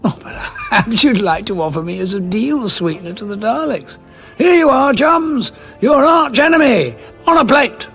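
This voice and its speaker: An actor playing a weary, bitter old alien war veteran in an audio drama, sardonic and indignant, with a gravelly, husky voice. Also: male voice, extremely high pitch, expressive, moderate pace, fairly bright tone, soft background music, full character voice. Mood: bitterly mocking.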